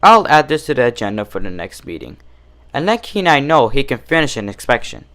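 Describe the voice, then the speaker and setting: A man voicing a proper, official female cartoon pony mayor in a measured, formal manner. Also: medium pitch, sing-song, fairly bright tone. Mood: businesslike.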